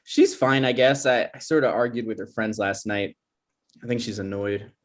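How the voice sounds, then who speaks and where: A man's voice: lowish voice, normal speed, natural voice. A man playing a touchy, argumentative adult son in a comedy script table read. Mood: testy. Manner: defensive, argumentative.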